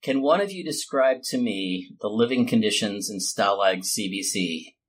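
A man's voice: on the low side, expressive, moderate pace. A man hosting a casual chat in a playful, teasing and giggly manner. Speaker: host.

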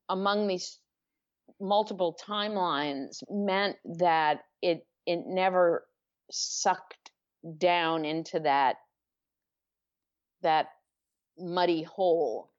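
A woman author answering interview questions thoughtfully, circling back with restarts and fillers. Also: fairly low pitch. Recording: dull lo-fi audio.

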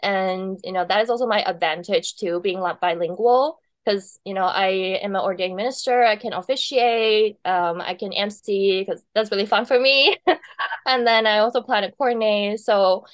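A woman's voice: medium pitch, moderate pace, no echo. A woman from Taiwan, a wedding planner, chatting casually as an interview guest, laughing often between thoughts.

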